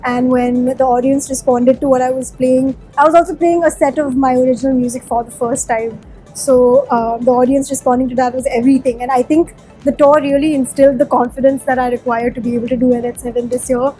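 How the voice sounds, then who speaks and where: A woman's voice: high voice, fairly even intonation, brisk, warm tone, faint music behind, poor audio. A woman from Sikkim whose first language is Nepali, a musician answering interview questions in a chatty, candid way with plenty of fillers. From Sikkim, India.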